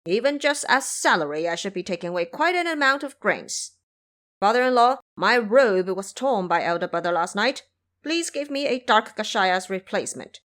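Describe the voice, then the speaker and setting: A woman voicing a brash, grumbling male boar monster turned monk in an audio drama, loud and swaggering. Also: mid-range voice, expressive, moderate pace, lightly acted. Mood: wheedling, complaining.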